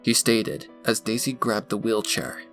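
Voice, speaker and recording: A man's voice: lowish voice, level tone, measured pace, fairly bright tone. A man reading scripted fan fiction as a dramatic narrator. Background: background music.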